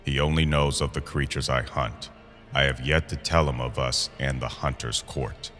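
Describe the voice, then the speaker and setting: A man's voice: rumbling low, steady tone, moderate pace, a bit bright. A man playing a bitter, subservient hunter in an audio drama, speaking formally and deferentially to his leader. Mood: subdued, obedient. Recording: long hall reverb. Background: eerie horror music.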